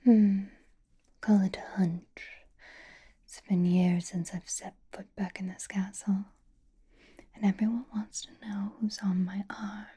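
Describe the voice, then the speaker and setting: A woman playing a flirtatious, possessive female vampire in an ASMR roleplay, softly whispering with teasing endearments. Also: mid-range voice, flat delivery. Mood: conspiratorial, wry. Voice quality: soft breathy whisper. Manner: slow, teasing, drawling endearments.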